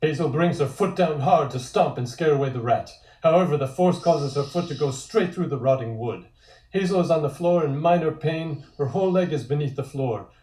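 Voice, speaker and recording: Mellow-voiced man narrating, echoey room. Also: fairly high pitch, moderate pace. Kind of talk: reading comic panel directions at a table read.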